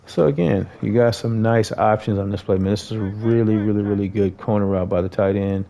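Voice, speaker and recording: A man's voice: lowish voice, fairly quick, creaky, dark tone. An American man giving a casual, conversational gameplay tutorial, talking informally to viewers as a host.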